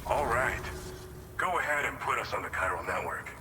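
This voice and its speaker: A man playing a chatty, welcoming facility staffer in a video game, with animated delivery. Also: mid-range voice, moderate pace, noisy background, lightly acted. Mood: welcoming, impressed. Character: lively, welcoming male facility staffer in awe. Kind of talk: scripted game dialogue. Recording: echoing hall, music behind.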